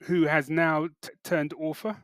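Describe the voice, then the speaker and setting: A man's voice: a little high, level tone, a little slow, fairly bright tone, dry recording, studio quality. A man co-hosting a podcast in casual, bantering conversation. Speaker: podcast co-host.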